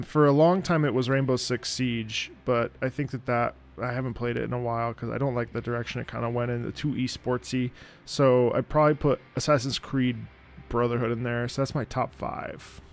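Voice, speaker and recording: A man chatting casually as a gaming streamer, rambling and thinking aloud. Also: mid-range voice. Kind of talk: casual live gameplay chat. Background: video game music and dialogue.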